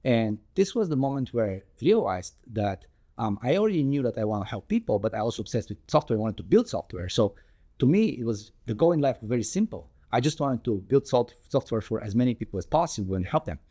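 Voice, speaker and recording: Earnest man, mellow voice. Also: medium pitch, big pitch swings. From Bulgaria. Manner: earnest, measured, with frequent ums and restarts. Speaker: tech founder and guest. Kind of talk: telling his life story in an interview.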